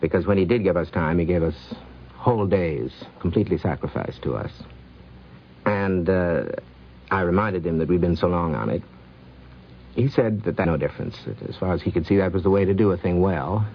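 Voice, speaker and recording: A man with a very low voice recounts anecdotes in an unhurried, reflective manner. The recording is dull and lo-fi, from 1950s radio. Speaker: film producer.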